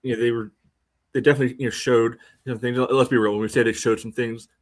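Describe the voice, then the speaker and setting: An American man co-hosting a casual soccer podcast, giving measured analysis in a halting, filler-heavy way. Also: medium pitch, little pitch movement. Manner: halting, measured, peppered with "you know". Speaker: podcast host.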